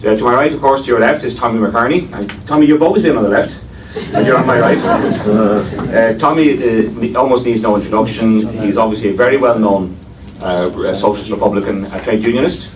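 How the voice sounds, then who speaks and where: Irish male chairman, low voice, wry and hesitant, distant mic with faint hiss in a lecture room. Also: brisk. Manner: wry, digressive, with frequent hesitations. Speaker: chairman of a panel discussion. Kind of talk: formal panel introduction. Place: lecture room before an audience.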